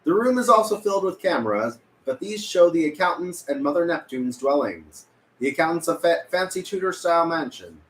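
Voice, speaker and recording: A man's voice: a little high, very animated, brisk, a bit bright. A man reading stage directions as scripted narration at a film table read. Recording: roomy, echoey with room noise.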